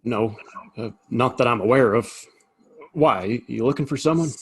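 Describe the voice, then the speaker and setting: An actor playing a nervous, deferential small-town barkeep in a Western audio play, with a hesitant, stammering delivery and a husky voice. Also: male voice, medium pitch, moderate pace, lightly acted, rough recording. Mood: nervous, evasive.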